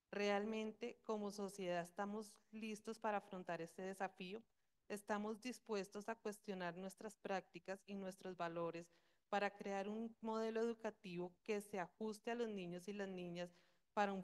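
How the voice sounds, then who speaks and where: A woman presenting at a conference, reading a formal talk in a measured, steady way with rhetorical questions. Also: mid-range voice, clean tone. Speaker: conference speaker.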